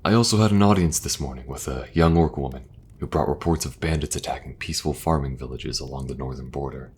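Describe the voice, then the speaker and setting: A man voicing a weary, dutiful king in an audio drama, speaking in an earnest, measured way. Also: rumbling low, slight background noise. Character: weary, dutiful male king burdened by duty.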